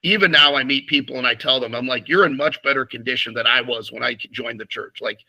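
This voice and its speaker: Bright-voiced priest recalling his path. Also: mid-range voice, low-quality recording. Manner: frank, halting, many restarts. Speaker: priest, interview guest. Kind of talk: casual interview conversation.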